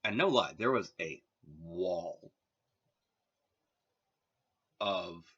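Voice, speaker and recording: A low-pitched man from Massachusetts rambles through a casual vlog, his voice bright and forceful with a lively, swooping pitch. He meanders with plenty of filler words and a wry edge. The recording sounds dull and lo-fi. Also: drawn-out words, slightly rough. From Massachusetts, USA. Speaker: vlogger.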